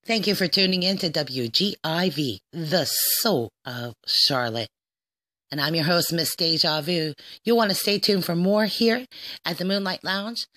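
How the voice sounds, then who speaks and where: Low-voiced woman hosting radio. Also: unhurried, a bit bright. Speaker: radio show host. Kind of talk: informal radio presenting.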